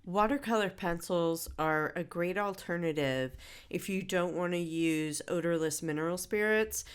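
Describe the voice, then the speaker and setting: A middle-aged woman who is a bit stuffy from a head cold, talking through a relaxed drawing tutorial in a chatty way, with many fillers and self-corrections. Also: lowish voice, moderate pace, smooth. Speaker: art instructor.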